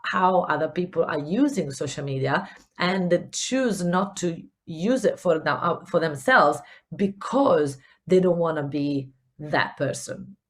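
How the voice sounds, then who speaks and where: A middle-aged Italian woman with a mixed accent that carries a Spanish touch. Her voice sits on the low side, and she talks earnestly and encouragingly, doubling up words as she hunts for them. She is hosting a solo business podcast. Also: lively intonation, unhurried. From Italy. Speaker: podcast host, business coach.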